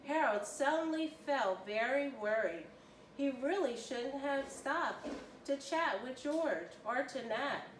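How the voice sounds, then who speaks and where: A woman reading a children's story aloud with expressive, deliberate storytelling. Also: high voice. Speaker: volunteer children's story reader. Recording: roomy, distant mic, faint noise.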